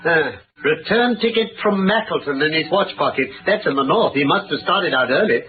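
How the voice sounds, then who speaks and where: A man playing a shrewd, courteous British gentleman detective in a radio drama, brisk and incisive. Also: on the high side, expressive, narrow, tinny audio, natural voice. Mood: brisk, solicitous. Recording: noisy, phone-thin vintage radio recording.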